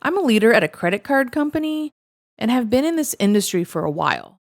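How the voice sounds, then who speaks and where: High-voiced woman reading confessions aloud. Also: sing-song, quick pace, vocal fry. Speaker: story reader, host of a show reading online confessions. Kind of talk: expressive scripted reading of first-person confessions.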